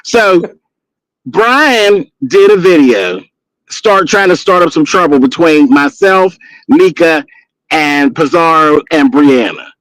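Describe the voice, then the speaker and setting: Blunt, sassy man in his fifties with a high voice, recorded dry and close. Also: expressive, slow, a bit bright, no room sound, low-quality recording. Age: 50s. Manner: sassy, blunt, gossipy with cussing. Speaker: guest on a live stream. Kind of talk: casual chat and storytelling.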